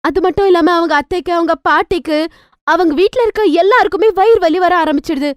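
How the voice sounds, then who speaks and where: A woman's voice: very high-pitched, a little fast, no room sound, studio quality. A woman narrating a scripted cartoon moral story as its storyteller.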